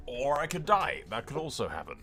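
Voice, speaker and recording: A man's voice: medium pitch, very animated, a little fast, over music. A man giving casual, blokey game commentary, swearing freely and addressing his viewers. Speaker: gaming video host.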